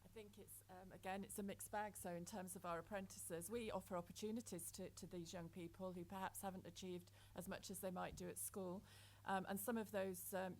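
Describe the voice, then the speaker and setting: A woman on a panel talks candidly about apprentices, with a thoughtful, slightly hesitant delivery. Her voice has a medium pitch over faint room noise. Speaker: employer panelist. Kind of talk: panel discussion.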